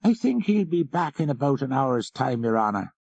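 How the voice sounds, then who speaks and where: A man's voice: mid-range voice, very animated, moderate pace, creaky, a little mellow, no room sound, muffled recording, pitched above the performer's own voice. A man voicing a deferential country housekeeper in an audiobook story. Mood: polite. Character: priest's housekeeper, deferential Irish country servant woman. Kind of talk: audiobook character reading.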